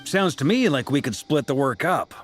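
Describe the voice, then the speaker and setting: Lively, easygoing knight leader played by a man. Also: a little high, moderate pace, faint music behind, natural voice. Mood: playful. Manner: casual, playful, expressive. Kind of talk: video game story dialogue.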